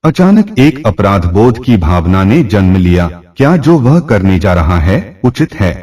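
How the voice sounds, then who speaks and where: Low, slow, husky male storyteller. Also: sing-song, a little mellow, distant mic. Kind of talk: scripted Hindi short story narration.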